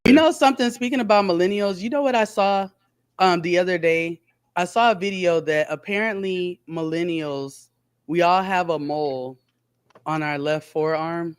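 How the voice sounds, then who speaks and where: A woman's voice: on the low side, moderate pace, clean tone, no echo, studio quality. A woman in her forties co-hosting a casual podcast chat, who laughs often and reads out viewer comments. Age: 40s. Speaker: podcast co-host.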